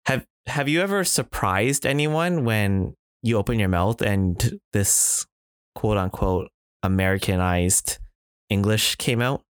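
A man's voice: on the low side, takes it slowly, smooth, dry recording, clean studio sound. A man in casual podcast chat, reflective, with many fillers and restarts. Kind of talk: casual conversation.

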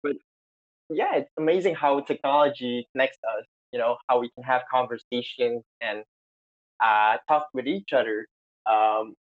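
Excitable man hosting a podcast, lo-fi. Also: medium pitch, normal speed. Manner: excitable, lots of fillers and restarts. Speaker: podcast host. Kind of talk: casual chat. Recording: slightly dull lo-fi sound.

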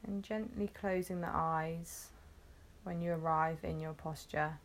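A woman guiding a meditation, gently instructing in short phrases with long, unhurried pauses. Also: sits low, fairly even intonation. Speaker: meditation guide. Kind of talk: guided meditation, soothing instruction.

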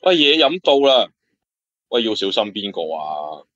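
An actor playing an easygoing, jokey, good-natured bartender in an audio drama. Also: male voice, fairly low pitch, sing-song, measured pace, fairly bright tone, lo-fi sound. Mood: jokey, friendly. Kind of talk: Cantonese audio drama acting.

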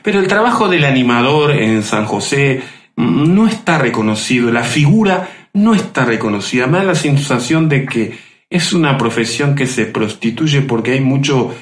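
Uruguayan radio interviewer in a roomy space. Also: male voice, mid-range voice, expressive, normal speed. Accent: Uruguayan Spanish. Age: older than guest.

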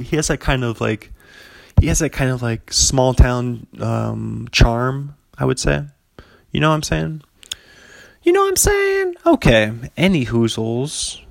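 A man's voice: a little high, very animated, unhurried, gravelly, no echo. Rambling, chuckling male podcast host. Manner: rambling, chuckling, heavy use of "like". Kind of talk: casual solo podcast monologue.

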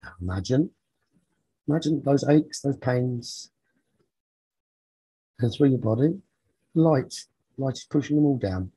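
A very breathy, husky man leads a guided meditation for sleep at a slow pace. His tone is soft and mellow, with short soothing phrases set apart by long pauses. The sound is close and dry. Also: mid-range voice, lively intonation, no echo, low-quality recording. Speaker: meditation teacher leading a guided sleep talk-down.

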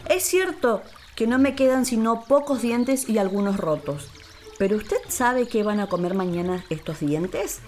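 A woman voicing an old, wise and sly male caiman in a children's storybook radio play, with deliberate theatrical delivery. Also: a little high, expressive, a little mellow, a little hiss or hum, pitched above the performer's own voice. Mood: sly, defiant.

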